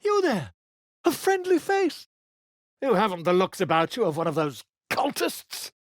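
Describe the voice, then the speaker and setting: A man's voice: high voice, wildly swooping pitch, a little slow, no room sound, studio quality, heavily acted. An actor playing a flamboyant, self-important male bard and scribe in a video game, speaking in a theatrical, flowery, pompous way. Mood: grandiose.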